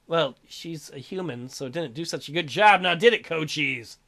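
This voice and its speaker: High-pitched man, irreverent gaming commentary. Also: expressive, moderate pace, gravelly, pushed and bright. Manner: irreverent, crude joking, mocking. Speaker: gaming video host, let's player. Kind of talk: reading visual novel aloud with joking commentary.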